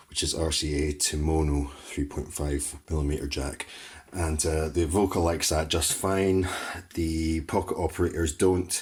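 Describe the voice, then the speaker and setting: Very deep, husky male gear demonstrator. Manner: methodical, matter-of-fact. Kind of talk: explanatory tutorial talk.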